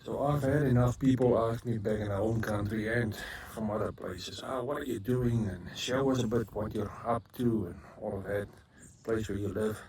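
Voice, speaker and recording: A man's voice: fairly low pitch, steady tone, moderate pace, few pauses, darkish tone, slight background noise, rough recording. A South African man talking casually in a rambling home vlog, often stopping to correct himself. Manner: rambling, self-correcting, frequent ums. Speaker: vlogger. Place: rural homestead.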